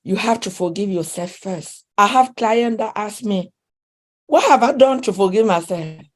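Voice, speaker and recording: A woman's voice: mid-range voice, normal speed. A woman speaking English with a non-native accent, a relationship coach talking earnestly in an interview, often restarting sentences. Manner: earnest, with frequent restarts and soft laughs. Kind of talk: conversational interview.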